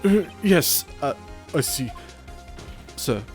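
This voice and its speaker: A man puts on a flustered hotel bellboy for a video game, pitched high and eager to please. He hesitates, trips over his words and defers constantly, with faint music underneath. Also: very animated, slow. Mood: nervous, deferential. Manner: hesitant, stammering, obsequious. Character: nervous, deferential male hotel bellboy on witness stand.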